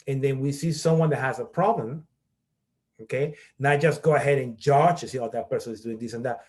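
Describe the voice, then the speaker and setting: A man's voice: mid-range voice, brisk, a little mellow. A middle-aged man from Peru who leads a workshop as a college professor, explaining step by step and often checking in with "okay?". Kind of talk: online workshop presentation.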